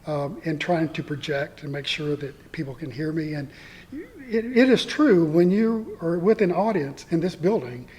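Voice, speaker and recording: A man guest on a theatre podcast with a soft voice, speaking hesitantly with many fillers. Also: fairly high pitch, animated, moderate pace. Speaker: guest, community theatre actor. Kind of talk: casual interview.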